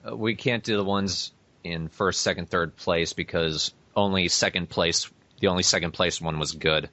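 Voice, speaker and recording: A man's voice: low voice, steady tone, moderate pace, pushed and bright, no echo. A man co-hosting a casual podcast with friends, with a wry and crude sense of humor. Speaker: podcast co-host. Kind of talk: casual group podcast chat. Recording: dry, dull lo-fi voice chat.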